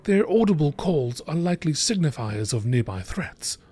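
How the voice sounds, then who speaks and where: Man, slightly high voice, lively intonation, measured nature documentary narration, husky. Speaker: narrator reading game lore entries.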